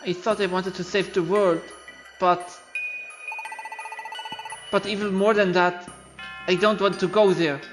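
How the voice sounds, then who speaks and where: A man's voice: high-pitched, steady tone, measured pace, long pauses. A man voicing a timid, guilt-ridden creature who speaks apologetically and hesitantly in a game story, over music. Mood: fearful, ashamed. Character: timid, guilt-ridden creature friend turned reluctant betrayer. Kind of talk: voiced video game story playthrough. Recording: music behind the voice.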